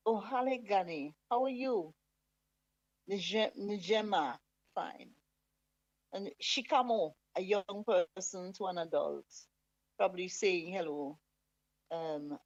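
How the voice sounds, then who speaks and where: A woman hosting a talk show, playful and unhurried as she reads listener comments aloud. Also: mid-range voice, dry recording. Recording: dull lo-fi dry sound.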